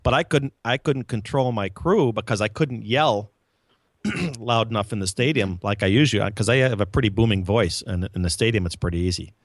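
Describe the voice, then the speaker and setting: Man, lowish voice, dry close sound, rambling anecdote, casual chat. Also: brisk, dry recording. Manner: rambling, many restarts. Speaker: podcast host, former head groundskeeper.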